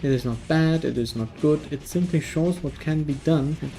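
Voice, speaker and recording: A man's voice: on the high side, normal speed, music in the background. A man from Germany, narrating a casual game review in a dry, wry manner.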